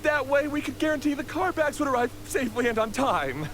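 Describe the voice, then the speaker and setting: A nervous bandit with an extremely high voice for a man. He sounds contrite and anxious in a video game scene, with faint noise behind him. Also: sing-song, a little fast, a bit bright, exaggerated voice. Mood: anxious, explaining.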